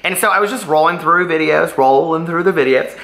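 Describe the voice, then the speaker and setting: Man, book vlogger, crisp bright voice, casual, emphatic, digressive, roomy echo. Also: a little high, brisk. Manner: chatty, gushing and emphatic, often digressing. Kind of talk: casual chatty book-tag vlog.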